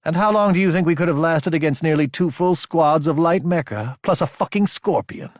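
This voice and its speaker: A man's voice: medium pitch, brisk, a little mellow, slightly rough, no room sound, studio quality. A man voicing an intense, anguished young military officer in an audiobook, insubordinate and emphatic. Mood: resigned, modest. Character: young male military officer, fierce, anguished, self-doubting. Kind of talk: audiobook character acting.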